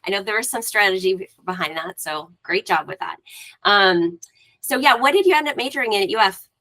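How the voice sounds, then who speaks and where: A woman's voice: medium pitch, lively intonation, fairly quick, bright, forceful tone. A woman hosting a podcast interview, effusive and chatty, with frequent fillers. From Florida Panhandle. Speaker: podcast host. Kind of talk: casual interview chat.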